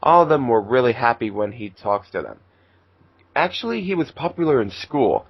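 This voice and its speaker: A man's voice: medium pitch, big pitch swings, normal speed, slightly rough, no room sound. Male fanfic narrator, dull lo-fi mic. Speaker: fan fiction reader and narrator. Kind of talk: amateur story narration and casual chat.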